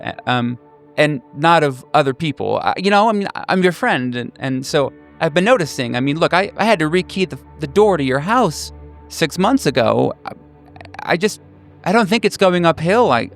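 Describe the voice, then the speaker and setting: A man's voice: on the high side, vocal fry, pitched above the performer's own voice. A man playing a concerned, awkward male friend in improvised roleplay, stammering and tentative. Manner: stammering, tentative, repeating words. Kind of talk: improvised in-character roleplay dialogue.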